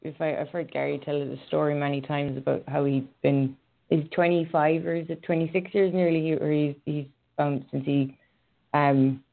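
Irish woman host, hesitant and filler-heavy, gravelly monotone, over a tinny call. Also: low-pitched, moderate pace. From Ireland. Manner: hesitant, many fillers and restarts. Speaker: radio show host and horse rider. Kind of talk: casual online radio chat. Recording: phone-line call audio.

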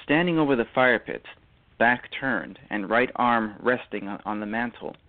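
A man reading literary narration for a radio drama, halting and often repeating words. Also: medium pitch, narrow, tinny audio.

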